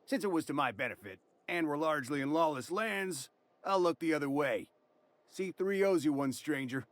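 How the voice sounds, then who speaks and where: An actor playing a folksy, wry mercenary captain with a drawling, dryly humorous way of talking. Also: male voice, a little high, expressive, moderate pace, no room sound, natural voice.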